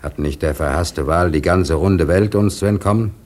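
An actor playing a grave, devout first mate on a whaler in a radio drama, solemn and anguished in measured phrases. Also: male voice, deep voice, a little mellow, close to an everyday voice. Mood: anguished resignation. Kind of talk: German radio drama acting.

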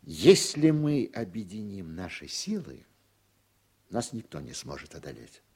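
An actor playing a straightforward, ambitious judge in a radio drama, measured and authoritative, with a husky voice. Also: male voice, low-pitched, big pitch swings, darkish tone, full character voice. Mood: frank, deliberate. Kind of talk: Soviet-era radio drama acting.

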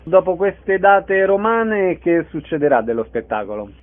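A man's voice: high-pitched, sing-song, unhurried. A man working as a radio interviewer, putting short, prepared questions to a guest. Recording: narrow phone-like radio sound.

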